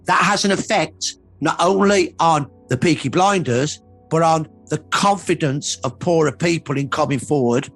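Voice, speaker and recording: A man's voice: fairly high pitch, a bit bright, dry recording. A man giving an emphatic, earnest expert account of history in a documentary interview. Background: background music.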